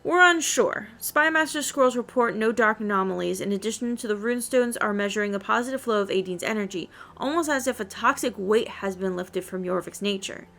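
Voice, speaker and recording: A woman reading the part of a solemn hooded druid sage with formal, measured wording. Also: medium pitch, a bit bright, close to an everyday voice. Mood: informative.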